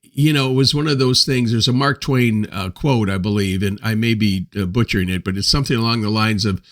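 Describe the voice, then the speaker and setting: An older man in his seventies speaks in a fairly low voice as he hosts his own reflective monologue. He talks slowly and thoughtfully, pausing often to find his words. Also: fairly bright tone. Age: 70s. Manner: unhurried, hesitant with frequent pauses and fillers. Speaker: host.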